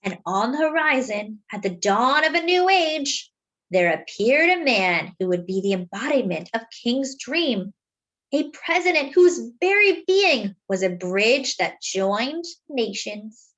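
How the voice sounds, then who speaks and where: A woman reading a children's picture book aloud, with dramatic, deliberate phrasing and drawn-out words. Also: a little high, very animated, fairly bright tone, lo-fi sound. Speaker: children's story reader.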